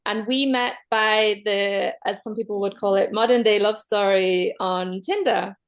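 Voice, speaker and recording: A woman from Manchester with a Mancunian accent, a guest openly sharing her love story in a casual chat, pausing often on soft "um"s. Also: mid-range voice, steady tone. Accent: Mancunian English. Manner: open, measured, many soft "um"s.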